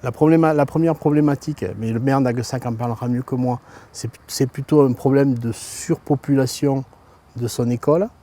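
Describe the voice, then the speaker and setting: Mellow-voiced man rephrasing as he goes. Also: mid-range voice, steady tone, moderate pace. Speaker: local elected official. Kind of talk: interview answer.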